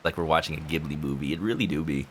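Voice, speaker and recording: A man's voice: a bass voice, rapid-fire, a bit bright, slight background noise. A young man streaming a video game, making casual, joking commentary as he plays. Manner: chatty, joking. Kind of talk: casual let's-play commentary. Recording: voice over game music.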